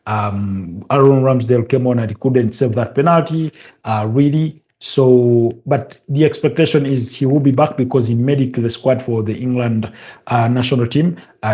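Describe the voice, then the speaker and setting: A man from Uganda with a Ugandan accent, hosting a casual football preview stream and talking in a halting way, full of fillers and restarts. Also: medium pitch, dark tone.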